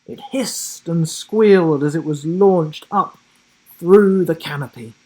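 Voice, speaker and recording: A man reading a children's storybook aloud in a dramatic, suspenseful way with deliberate pauses. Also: fairly high pitch, a little mellow, rough recording. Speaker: children's book narrator. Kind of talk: dramatic scripted storybook narration.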